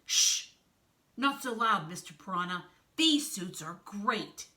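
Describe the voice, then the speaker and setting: A woman's voice: medium pitch, animated, measured pace, sharp tone. A woman voicing a fussy, know-it-all tarantula who explains gadgets emphatically in a children's storybook. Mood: insistent.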